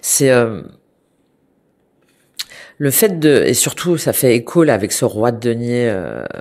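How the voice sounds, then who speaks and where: A woman with a slightly stuffy, congested voice talks through a tarot reading for her viewers. She hesitates a lot, circling back with fillers and restarts, yet keeps a gentle, reassuring tone. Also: very deep voice, very animated, measured pace. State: has a cold, congested. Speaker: tarot reader.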